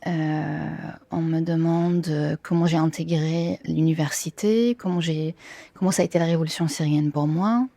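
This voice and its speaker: A low, mellow-voiced woman in her late twenties speaks with a Syrian Arabic accent, in a language that is not her first. She gives casual interview answers, hesitantly feeling for words, in a dry, close sound. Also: fairly even intonation, moderate pace, dry recording. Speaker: interviewee, theatre performer and film worker.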